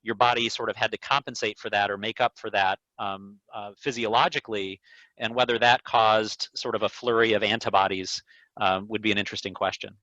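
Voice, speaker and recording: A man speaking as an eye doctor, explaining things to a patient in a measured, careful way over a video call. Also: on the low side, steady tone, sharp tone, no room sound. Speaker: neuro-ophthalmologist answering patient questions. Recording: dull, lo-fi video call audio.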